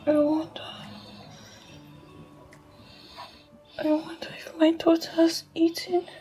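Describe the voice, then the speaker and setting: An actress playing a grief-stricken, accusing mother in a screen melodrama, with drawn-out, wailing delivery. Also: high voice, darkish tone. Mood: anxious, dazed grief.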